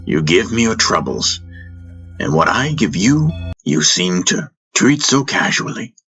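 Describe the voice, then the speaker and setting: A man's voice: low-pitched, sing-song, measured pace, sharp tone, faint music behind, full character voice. A man voicing a wise, teasing and stern spiritual master in a fantasy audiobook, chiding in a theatrical way.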